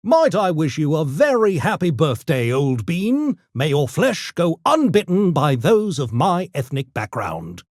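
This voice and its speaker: Pompous genteel gremlin for a game: unhurried, theatrical, extremely swooping intonation, mischievous politeness. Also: male voice, a little high, sing-song, no room sound, clean studio sound. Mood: playful, mischievous. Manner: posh, pompous, theatrical, mock-polite diction. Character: urbane genteel mischievous gremlin, a genetically engineered intellectual creature. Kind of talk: video game character voice lines.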